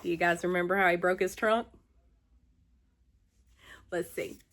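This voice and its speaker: A woman's voice: fairly low pitch, fairly even intonation, unhurried, fairly bright tone. A woman storyteller making casual asides while reading aloud to children.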